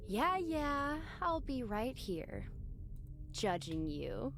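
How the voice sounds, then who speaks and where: A woman's voice: high voice, big pitch swings, very slow, a little hiss or hum, heavily acted. A woman playing a teasing, cheeky but caring teenage schoolgirl in a visual novel fandub.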